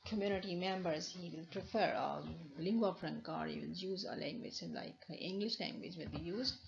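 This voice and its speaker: A woman's voice: fairly low pitch, vocal fry. A woman with a Pakistani accent, a university lecturer explaining over slides, with many restarts and fillers. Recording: dull lo-fi recording.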